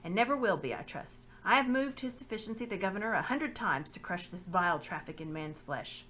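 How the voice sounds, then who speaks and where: A woman's voice: mid-range voice, lively intonation, fairly quick, a bit bright, close to an everyday voice. A woman reading the part of a loyal middle-aged nobleman, speaking earnestly and courteously.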